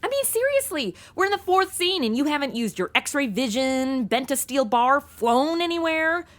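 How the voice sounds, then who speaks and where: An actress playing a flippant, sarcastic, easily bored young sidekick in a comedy audio drama, talking in modern casual slang. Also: female voice, high-pitched, sing-song, normal speed, fairly bright tone. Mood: flippant, impatient.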